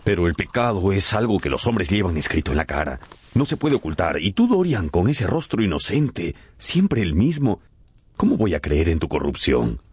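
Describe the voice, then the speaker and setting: A man's voice: low-pitched, sing-song, normal speed, a little mellow, husky, no room sound, full character voice. An actor playing an earnest, anxious painter troubled over his friend's morals, solemn and pleading, in a radio drama. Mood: grave. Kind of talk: Spanish-language radio drama acting.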